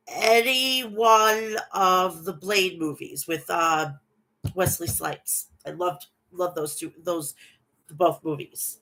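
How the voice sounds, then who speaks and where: A woman's voice: mid-range voice, a bit bright. A woman hosting a casual wrestling recap, talking through a stuffy nose from a bad cold, reading match results and chatting with viewers. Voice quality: nasal.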